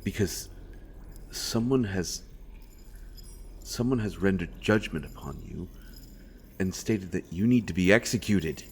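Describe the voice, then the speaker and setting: Male actor for deep-voiced fantasy roleplay royal interrogator, secretly protective, earnest, pleading urgency. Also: a little slow, pauses often, a little hiss or hum. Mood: urgent, earnest. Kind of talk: dramatic fantasy audio roleplay addressed to the listener.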